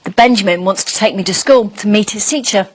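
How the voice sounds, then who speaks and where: A woman voicing a curious, friendly young schoolchild dragon who narrates a storybook with wide-eyed, expressive emphasis. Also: medium pitch, brisk, slightly rough, lightly acted. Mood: excited.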